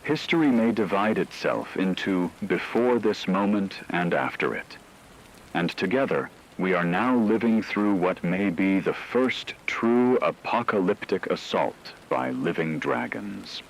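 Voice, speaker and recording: A man playing a grave radio news anchor, delivering scripted breaking news in a solemn, measured, dramatic way. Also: low voice, expressive, creaky, full character voice. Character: grave male radio anchor reporting a catastrophe. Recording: noisy hissy radio broadcast.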